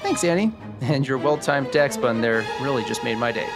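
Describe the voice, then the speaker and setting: A man playing a genial, sharp tax expert in a scripted noir radio drama, upbeat and explanatory. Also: mid-range voice, expressive, moderate pace, loud music behind the voice, lightly acted. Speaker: podcast host acting in a radio drama.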